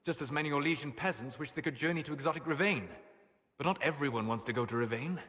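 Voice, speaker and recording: An actor playing a grave, scholarly elven male mage with measured, formal phrasing in a video game drama. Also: on the high side, a bit bright, in a large hall, close to an everyday voice.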